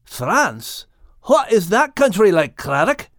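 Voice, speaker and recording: A man voicing an earnest, warm-hearted little adventurer, an animal with a Scottish accent, in an audiobook. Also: sits high, sing-song, takes it slowly, dry recording, clean studio sound, pitched above the performer's own voice, exaggerated voice. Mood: curious, proud. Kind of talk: audiobook character acting.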